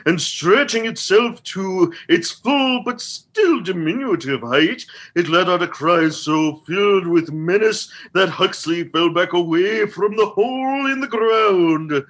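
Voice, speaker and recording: A man playing a dramatic storyteller, narrating a comic fantasy radio play in a measured, ominous manner. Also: sits high, expressive, fairly bright tone, full character voice. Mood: measured, suspenseful.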